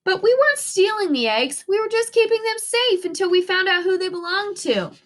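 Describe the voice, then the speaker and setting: An eager little boy dinosaur detective in a storybook, read by a woman. The voice is high, bright and animated, explaining everything in earnest. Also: brisk. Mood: sheepish.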